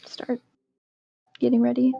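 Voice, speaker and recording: A woman with a gravelly, slightly high voice narrates casually with lots of fillers. The recording is dull and lo-fi, with faint music under it. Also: monotone, unhurried, mellow. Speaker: player. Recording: dull lo-fi sound.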